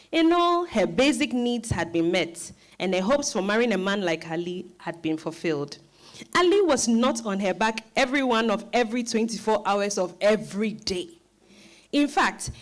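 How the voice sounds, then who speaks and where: Woman, narrator, scripted storytelling, very lively intonation, medium pitch.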